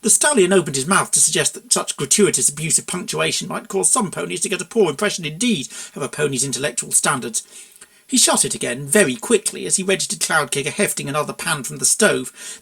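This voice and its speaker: A narrator reading a comic fan fiction story aloud in an expressive storybook style. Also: mid-range voice, fast talker, vocal fry.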